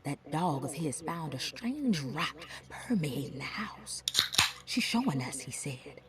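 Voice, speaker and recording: Very low-voiced, anxious woman. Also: very animated, slow, over a noisy crowd, full character voice. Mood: worried, secretive. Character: anxious woman reading her worried diary entry aloud. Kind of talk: scripted video game voice acting.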